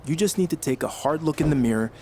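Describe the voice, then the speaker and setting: A man's voice: fairly high pitch, animated, fast, dark tone, natural voice. A man playing a confident, blunt, self-focused young wrestler in a video game, matter-of-fact in delivery. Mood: frank. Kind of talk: video game cutscene dialogue. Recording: faint background noise.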